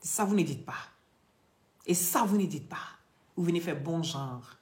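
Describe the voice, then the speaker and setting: A woman's voice: sits low, lively intonation, normal speed, a little mellow. Emphatic woman, echoey room. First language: not French. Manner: emphatic, lecturing, addresses viewers directly. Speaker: online vlogger and beauty product seller. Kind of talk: live social media chat and product pitch.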